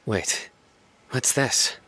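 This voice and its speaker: An actor playing a stern, stoic, principled investigator in a video game, with a serious, deliberate delivery. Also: male voice, pushed and bright, slight background noise. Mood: pondering. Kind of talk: dramatic video game acting.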